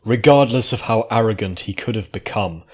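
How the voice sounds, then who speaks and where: Low-voiced man narrating a story. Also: moderate pace, a little mellow, husky. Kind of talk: scripted fiction narration.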